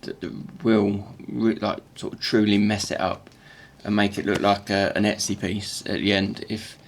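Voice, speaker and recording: Halting man with a croaky gravelly voice. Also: fairly low pitch, level tone, slight background noise. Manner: halting, with many repeated words and restarts. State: croaky morning voice. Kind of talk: casual workshop tutorial voice-over.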